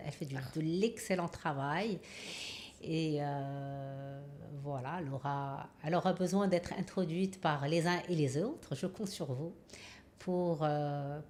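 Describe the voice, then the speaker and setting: A woman hosting a podcast interview, speaking in a measured, hesitant way with frequent fillers. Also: deep voice, a little mellow, slight background noise. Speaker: podcast host.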